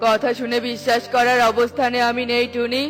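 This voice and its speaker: Cartoon eagle elder, played by a woman: high-pitched yet grave, commanding, judicial, light music underneath. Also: completely flat delivery, brisk, close to an everyday voice. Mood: stern. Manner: grave, authoritative. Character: stern eagle village chief, male, solemn judge. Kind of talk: children's cartoon voice acting.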